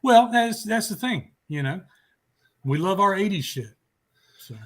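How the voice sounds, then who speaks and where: A man's voice: high voice, expressive, unhurried. A talkative man co-hosting a music talk show, chatting in a casual, rambling way with blunt swearing. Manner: foul-mouthed, blunt, talkative.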